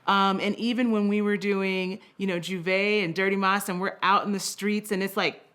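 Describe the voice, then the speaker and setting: A woman speaking as a podcast guest in a casual conversation, telling stories in an animated way with slangy asides. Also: medium pitch, moderate pace, clear, resonant tone.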